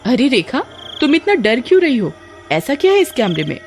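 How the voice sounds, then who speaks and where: Woman doing a young village woman, horror cartoon, exclamatory, teasing, dramatic, swinging pitch. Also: sing-song, moderate pace, soft background music. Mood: curious. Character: two bantering village girlfriends, teasing, easily frightened. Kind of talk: animated horror story dialogue.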